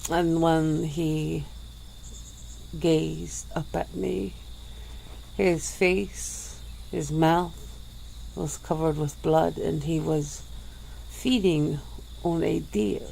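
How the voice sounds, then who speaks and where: A woman voicing a centuries-old female vampire who speaks slowly and softly, with breathy little laughs, in an ASMR roleplay. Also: low-pitched, fairly even intonation, slight background noise, heavily acted, poor audio. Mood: teasing, languid. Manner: slow, soft-spoken, with breathy little laughs.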